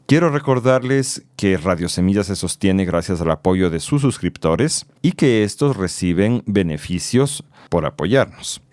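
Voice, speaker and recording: A man with a very low voice hosts a podcast and talks to his guest in a friendly, relaxed way. His voice is recorded dry and close, with no room sound. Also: measured pace, hardly any pauses, no echo. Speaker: podcast host. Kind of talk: conversational podcast interview.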